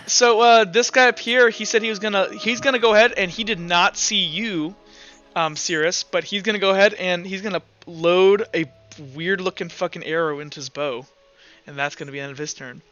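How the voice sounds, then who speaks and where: A man's voice: high voice, a little fast, bright, forceful tone, highs cut off, faint music behind. A man acting as game master, casually narrating a tabletop roleplaying game and thinking aloud informally.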